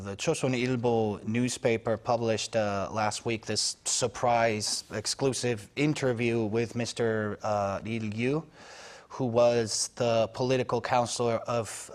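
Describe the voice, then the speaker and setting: A man speaking as a foreign news correspondent on a news panel, analytical and halting, with many fillers and restarts. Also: medium pitch, fairly even intonation. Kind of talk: unscripted news panel discussion.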